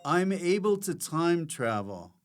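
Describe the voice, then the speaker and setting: Slow-talking male podcast host. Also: a little high, very animated, music in the background. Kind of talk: casual comic interview.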